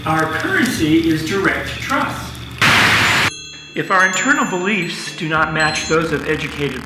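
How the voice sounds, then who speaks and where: A man playing a grandiose showman presenting an orientation video in a radio drama, theatrical and presenterly. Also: fairly high pitch. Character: grandiose male showman addressing viewers on orientation film. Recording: echoing, distant playback over loud music. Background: loud music.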